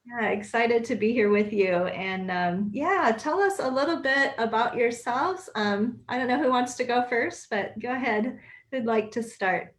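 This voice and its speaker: A woman's voice: on the high side, unhurried. A woman hosting a casual video call chat, upbeat and friendly, with frequent fillers. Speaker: host.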